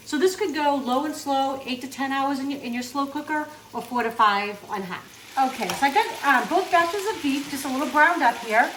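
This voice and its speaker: A woman's voice: sits high, fairly bright tone, roomy sound, slight background noise, low-quality recording. A woman from the Northeast US with a Northeastern accent hosts a cooking channel, chatting casually as she works in her home kitchen. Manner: chatty, upbeat, talks while working.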